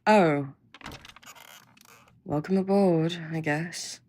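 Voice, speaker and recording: Woman acting a snarky, low-voiced female scientist. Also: drawn-out words, mellow. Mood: grudging, dismissive. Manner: sarcastic, blunt, dismissive. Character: snarky, impatient female scientist, grudgingly welcoming. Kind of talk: audio roleplay acting.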